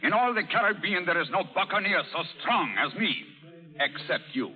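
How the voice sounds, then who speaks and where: An actor playing a boastful French pirate captain in a radio drama, effusive and theatrical, with a thick French accent and broken English. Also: male voice, sits high, animated, moderate pace, bright, forceful tone, long reverb tail, heavily acted. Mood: jovial, persuasive. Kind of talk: 1930s radio drama acting. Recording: dull old radio recording.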